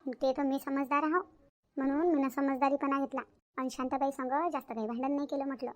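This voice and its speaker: Lady doing a bossy village saas for a cartoon, high voice, talks fast, varhadi marathi accent, full of herself. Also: fairly even intonation, close to an everyday voice. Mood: defensive. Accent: Varhadi Marathi of Vidarbha, India. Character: bossy, self-praising village mother and mother-in-law. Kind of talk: Marathi family cartoon story acting.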